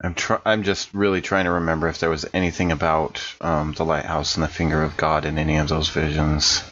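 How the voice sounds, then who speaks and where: Male tabletop player, low-pitched, wry, reasoning aloud in casual talk, slightly dull recording. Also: flat delivery, a little fast, fairly bright tone, slight background noise. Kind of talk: casual actual-play game chat.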